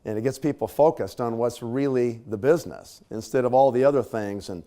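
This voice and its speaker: A man with a slightly scratchy voice, clearing his throat now and then, gives a conversational leadership talk to a live audience. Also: medium pitch, brisk, warm tone. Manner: conversational, casual fillers and tag questions. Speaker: speaker at a leadership training.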